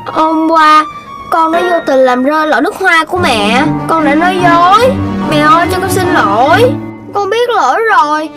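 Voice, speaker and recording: A woman voicing a playful, naive little puppy boy in a children's cartoon, childlike and expressive. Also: extremely high pitch, normal speed. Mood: apologetic. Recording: music playing behind the voice.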